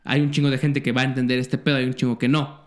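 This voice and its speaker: A man co-hosting a casual podcast, animated and profane as he chats. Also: medium pitch, fast talker. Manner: profane, animated, chiming in often. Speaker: podcast co-host. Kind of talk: casual crude banter.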